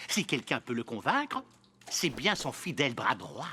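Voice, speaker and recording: A man voicing a boastful, whiny henchman in a cartoon, excitable and petulant. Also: medium pitch, normal speed, husky, full character voice. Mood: smug. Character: boastful, whiny male villain's henchman craving to be boss. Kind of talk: animated cartoon dub.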